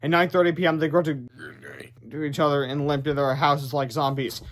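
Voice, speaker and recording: A man's voice: a little high, little pitch movement, moderate pace, fairly bright tone. A man narrating a children's storybook aloud as its reader.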